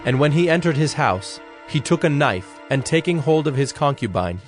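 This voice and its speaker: A man narrating a dramatized scripture in a measured, solemn, scripted style. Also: fairly high pitch, lively intonation. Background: background music.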